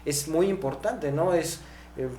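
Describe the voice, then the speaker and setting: Echoey, higher-pitched Mexican man. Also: warm tone. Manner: halting, often tags phrases with "¿no?". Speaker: podcast host. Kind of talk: conversational explaining. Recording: echoey room, clear background noise, hum.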